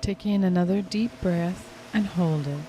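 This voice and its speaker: A woman leading a hypnotic guided meditation in a soothing, unhurried, gently instructing way. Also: fairly low pitch, a little hiss or hum, low-quality recording. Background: music clearly behind the voice.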